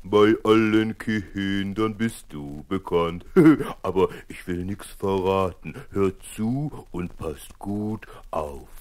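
A man's voice: low-pitched, takes it slowly, few pauses, darkish tone. A man playing a cheerful talking record dog, speaking playfully to children in an audio play introduction.

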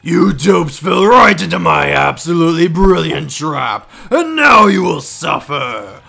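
A man's voice: on the high side, very animated, a little slow, creaky, pushed and bright, soft background music, full character voice. A man voicing a gloating, bumbling evil demon in a video game, with a bombastic, overdramatic delivery. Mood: gloating, theatrical. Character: gloating, theatrical evil cursed chest demon.

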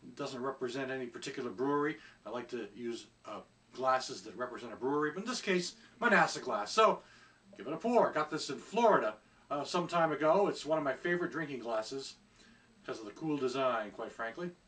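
A middle-aged man reviewing a beer at home in a casual, chatty way, often pausing on fillers as he searches for words. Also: mid-range voice, lively intonation, fairly bright tone, recorded from a distance. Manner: chatty, hesitant with many fillers. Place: small room at home, seated indoors.

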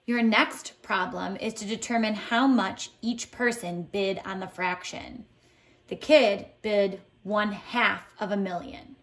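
A woman reading a picture book aloud as a teacher, expressive and deliberate, pausing to set tasks. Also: medium pitch. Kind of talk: expressive children's read-aloud with lesson prompts. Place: indoors at home, tiled floor.

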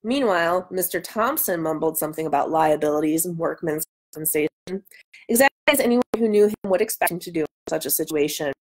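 A woman's voice: sits low, quick pace, rough recording. Give the voice of a woman in her thirties, congested and nasal with a cold. She reads a novel aloud to a live audience online and chats casually between passages. It is picked up by a webcam at home. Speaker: author hosting a live reading. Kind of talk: live book reading with casual chat. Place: small room at home, indoors. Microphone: webcam at arm's length.

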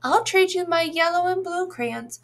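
A woman's voice: extremely high pitch, animated, a little slow, exaggerated voice. A woman voicing earnest, insistent young children in a picture book. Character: young children bargaining over crayons, earnest.

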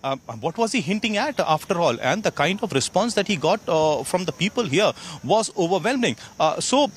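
Man in his thirties, Indian accent, live field reporting, emphatic halting phrases, faint outdoor noise. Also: sits high, animated. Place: outdoor rally venue at night. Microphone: handheld reporter microphone.

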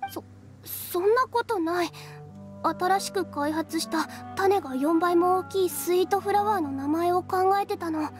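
A woman's voice: very high voice, a little slow. A woman voicing a shy, earnest young female alchemist in an anime game, slightly flustered. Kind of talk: Japanese anime game voice acting. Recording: game music behind.

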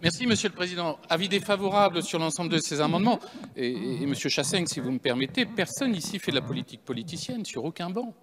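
A man's voice: fairly high pitch, animated, fast, a crowd in the background. A middle-aged man replying formally in parliamentary debate as rapporteur, repeating words insistently. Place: large parliamentary chamber. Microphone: handheld microphone. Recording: long hall reverb.

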